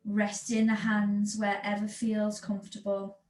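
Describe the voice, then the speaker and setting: Slow-paced woman guiding yoga, echoey room. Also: mid-range voice, completely flat delivery, darkish tone. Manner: soothing, unhurried, with long pauses between phrases. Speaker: yoga teacher. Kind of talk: guided yin yoga and relaxation instruction. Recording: echoey room with background noise.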